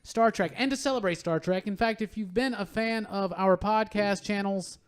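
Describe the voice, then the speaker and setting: A man with a noticeably high voice hosts a podcast. He talks casually, as in a chat among friends. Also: moderate pace, clear, resonant tone. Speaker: podcast host.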